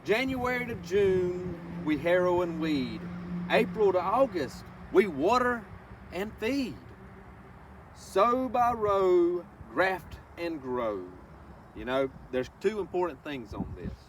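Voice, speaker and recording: Male children's read-along presenter, unhurried and very animated, folksy direct address, recorded a little far from the mic. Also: sits high. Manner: instructive, addresses listeners directly with folksy asides and questions. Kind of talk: reading a picture book aloud with asides to children. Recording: distant microphone with faint background noise.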